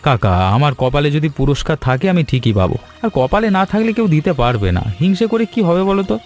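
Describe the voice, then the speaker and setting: A man playing a poor, honest, kind young villager in an animated children's story, gentle and conciliatory in manner. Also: on the high side, brisk, soft background music. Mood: calm, resigned.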